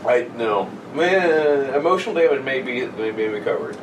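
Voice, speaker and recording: A man's voice: mid-range voice, expressive, moderate pace. A young man chatting casually with friends as a podcast co-host, joking in a crude, profane way. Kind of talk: casual chat among friends. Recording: roomy, distant mic, noisy background.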